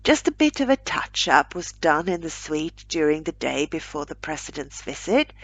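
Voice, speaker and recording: A woman reading a short story aloud as a narrator, with a measured, wry storytelling delivery. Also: low-pitched, very animated, breathy edge.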